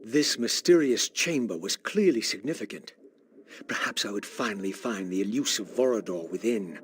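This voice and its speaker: A solemn vampire nobleman played by a man broods aloud in a fairly low voice. His game narration is formal and measured, heavy with portent and aristocratic weariness. Ambient noise is clearly audible behind him. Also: animated, close to an everyday voice. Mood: solemn, brooding. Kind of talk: scripted video game narration, introspective monologue.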